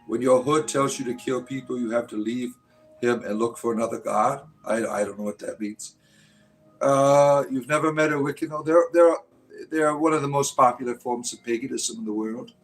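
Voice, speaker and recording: A man's voice: medium pitch, moderate pace, poor audio. A man in his fifties hosting a casual livestream, chatting with viewers in a rambling, joking way full of fillers. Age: 50s. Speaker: livestream host. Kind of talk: casual live-chat talk answering viewers.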